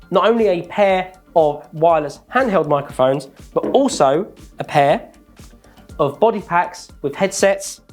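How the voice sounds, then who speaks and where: A man, sounding, calmly walking through product features in a scripted, methodical presentation. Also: sits high. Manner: measured, methodical listing of features.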